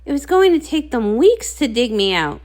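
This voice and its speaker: Woman acting as small young male unicorn, excitable, earnest, high pitch, swooping intonation, storybook character. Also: moderate pace. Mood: nervous, relieved.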